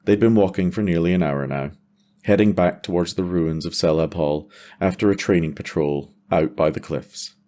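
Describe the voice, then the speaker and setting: A man's voice: sits low, moderate pace, mellow. A man delivering scripted, cinematic narration for a fantasy audio drama.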